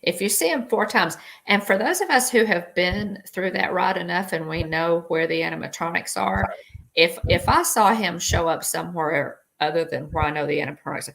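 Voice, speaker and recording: A woman's voice: fairly low pitch, normal speed, gravelly, background noise. A woman co-hosting a live stream, chatting casually and rambling as she greets viewers by name. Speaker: livestream co-host.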